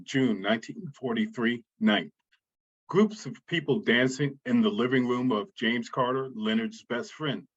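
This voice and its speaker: A man with a husky voice reading scripted narration as stage directions, on a slightly muffled recording. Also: mid-range voice.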